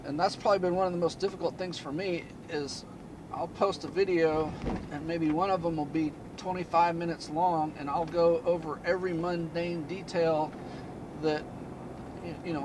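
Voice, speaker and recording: A man hosting a DIY car channel, chatting casually in a flat, low-key monotone from inside a moving car. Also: moderate pace, noisy background. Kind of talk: casual vlog talk while driving.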